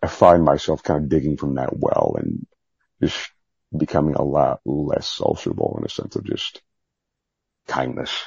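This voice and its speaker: A man with a low, husky voice chatting candidly in an interview, rambling, self-deprecating and mildly profane. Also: big pitch swings, a little slow, a little mellow, no room sound, lo-fi sound. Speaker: podcast guest, Navy veteran. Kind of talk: candid casual interview chat.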